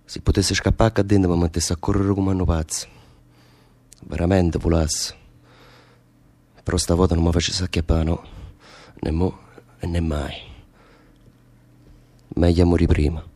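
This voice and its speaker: Lovesick neapolitan jailbird, restless rambling storyteller, radio play, very passionate. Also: male voice, low-pitched, little pitch movement, a little slow, long pauses, dark tone. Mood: brooding longing. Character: lovesick, restless male prisoner, volatile storyteller. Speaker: stage actor. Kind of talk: radio theatre dialogue in dialect.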